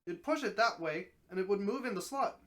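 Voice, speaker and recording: A reader as incredulous storybook man, curious, questioning, hesitant, pitched a little up. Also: expressive, a little fast, a bit bright. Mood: puzzled. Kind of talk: dramatic storybook reading.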